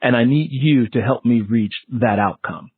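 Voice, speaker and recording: A man's voice: medium pitch, normal speed, slightly rough, no room sound, phone-quality sound. A man hosting an interview in a casual, conversational way, explaining things and acting out quoted lines as he goes. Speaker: interview host.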